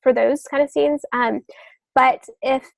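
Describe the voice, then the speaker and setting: A woman with a fairly high voice speaks as a guest in a podcast discussion. She explains step by step with examples, sometimes hesitating and correcting herself. The sound is close and dry, with very little room in it. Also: fairly even intonation, a little slow, creaky, dry recording. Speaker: podcast guest novelist giving writing advice.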